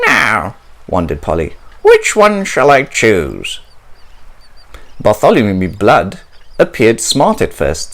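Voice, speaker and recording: A man reading a children's picture book aloud as a storyteller, in a theatrical, expressive way. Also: mid-range voice.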